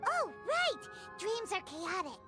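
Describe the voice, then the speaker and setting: A woman voicing a small, excitable floating fairy companion in a video game, easily confused and indignant. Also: very high voice, takes it slowly, over music, full character voice. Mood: eager, curious. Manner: refers to self in third person, exclamatory.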